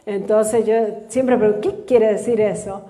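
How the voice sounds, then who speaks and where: A woman pastor teaching a live church sermon. She reads scripture slowly and has the congregation repeat after her. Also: medium pitch, steady tone, mellow. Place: church in a large hall. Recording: echoey church hall with long reverb.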